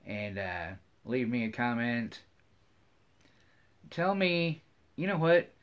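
A middle-aged American man talks to his viewers in a casual, folksy way. He takes his time, speaking slowly at an average male pitch. He rambles and circles back over his own phrases. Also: big pitch swings, smooth. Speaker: hobby vlogger. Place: wood-paneled room at home, indoors.

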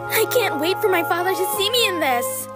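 A woman playing a vain, excitable teenage fairy girl in a cartoon fandub, with a theatrical, bossy manner. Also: very high-pitched, a little fast, music in the background. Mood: excited. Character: bubbly, vain teenage fairy girl, fashion-mad and feisty.

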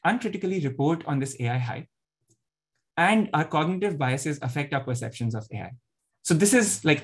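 A man giving an academic talk over a video call, explaining with frequent fillers and restarts. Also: fairly high pitch. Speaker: panel presenter. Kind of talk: academic presentation.

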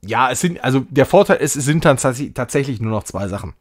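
A man comments casually on his gameplay for a live stream. His pitch moves around in a very lively way, sitting in a medium register. He is chatty and jokey, with a self-ironic, mock-grand streak. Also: fairly quick. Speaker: game streamer.

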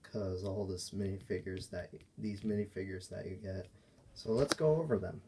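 A man giving a casual, unscripted show-and-tell as a toy reviewer, speaking in halting, broken phrases. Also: low voice, darkish tone, a little hiss or hum. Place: indoors at a table by a window.

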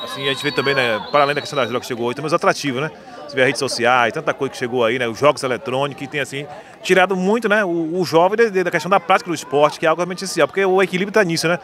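Man in his 30s, radio reporter, rattles along very fast, tag questions. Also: on the high side, fairly bright tone. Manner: rambling, leans on tag questions. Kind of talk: outdoor interview. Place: stadium stands outdoors. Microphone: handheld mic.

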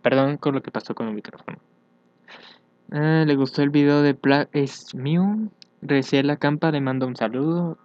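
A man's voice: medium pitch, a little mellow, dry recording. Hesitant young man, dull lo-fi mic. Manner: hesitant, thinking aloud. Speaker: fandub YouTuber. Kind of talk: casual reaction vlog, reading his social media aloud. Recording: dull, lo-fi home microphone.

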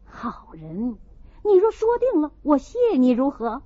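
A woman's voice: high-pitched, huge pitch swings, a little slow, mellow, dull audio, full character voice. A woman voicing a rich husband in an audiobook, cajoling and grumbling by turns. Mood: coaxing.

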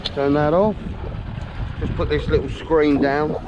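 A man's voice: on the high side, fairly even intonation, a little slow. Chatty man on a windy boat. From England. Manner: chatty, blunt, mild swearing. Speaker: boat owner demonstrating an autopilot. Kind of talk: casual talk-through while boating. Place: outdoors on a small open motorboat at sea. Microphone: action camera on the boat. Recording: wind-buffeted action camera, loud engine and water. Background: loud wind and engine noise.

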